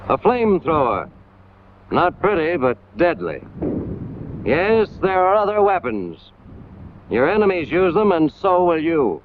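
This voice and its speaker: High-pitched American man, 1940s film narrator. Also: big pitch swings, measured pace, pauses often. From United States. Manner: hard-hitting, emphatic, clipped short phrases. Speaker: narrator of a military training film. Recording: scratchy, tinny 1940s film soundtrack, loud noise.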